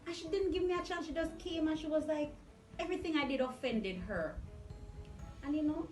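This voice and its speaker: Jamaican woman sharing scripture, bit high voice, repeats herself for emphasis, echoey room. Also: a little fast, a little mellow. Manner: emphatic, repeats phrases, mixes Patois with English. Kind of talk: casual faith testimony and scripture sharing. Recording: echoey room, distant mic, music underneath.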